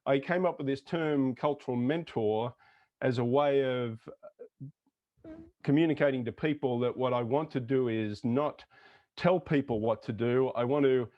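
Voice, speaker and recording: A man's voice: medium pitch, a little slow, dry recording, muffled recording. A man with an Australian accent, talking earnestly as an interview guest, with many fillers and restarts. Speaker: podcast guest. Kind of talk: casual interview talk.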